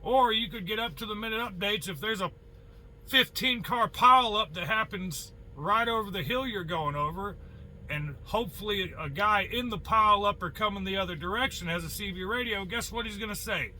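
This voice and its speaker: A man's voice: high-pitched, normal speed, sharp tone, a little hiss or hum, poor audio. An American man, a truck driver, talking casually and bluntly with a folksy, opinionated manner, tossing in rhetorical questions and mild swearing. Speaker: truck driver giving advice. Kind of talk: casual opinionated monologue to viewers.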